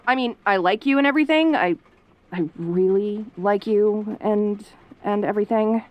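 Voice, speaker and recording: A woman acts out a tsundere tomboy in an audio roleplay. She is sassy and easily flustered, talking casually and defensively, with sarcasm that covers her softer side. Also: mid-range voice, unhurried, highs cut off, natural voice. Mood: bashful. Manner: casual, defensive, sarcastic, hesitant when sentimental.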